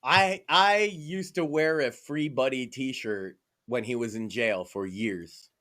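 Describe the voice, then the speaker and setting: A man's voice: mid-range voice, slow pace, fairly bright tone. A man from Massachusetts co-hosting a podcast, talking casually, with a profane, emphatic and opinionated manner. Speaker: podcast co-host.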